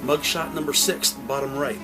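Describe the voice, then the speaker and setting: A man's voice: medium pitch, fairly even intonation, moderate pace, over music. A man narrating a scripted crime drama as a dramatic, detail-heavy storyteller.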